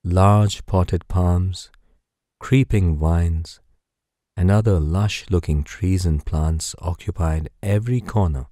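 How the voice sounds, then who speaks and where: Deep, very mellow man telling bedtime stories. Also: no room sound, studio quality. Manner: soothing, unhurried, gentle storytelling. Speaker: sleep meditation narrator. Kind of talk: guided bedtime story narration.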